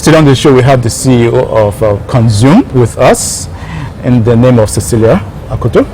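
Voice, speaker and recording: A man's voice: mid-range voice, lively intonation, brisk, warm tone, faint music behind, rough recording. Man hosting an informal online talk show. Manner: addresses viewers directly, repeats phrases for emphasis. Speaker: show host and founder.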